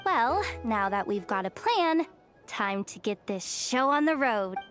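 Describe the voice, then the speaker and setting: A woman's voice: on the high side, sing-song, a little slow, a bit bright, faint music behind. A woman playing a cocky, evasive young female treasure hunter in a video game, with a breezy, boastful, theatrical manner. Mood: eager. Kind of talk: video game character acting.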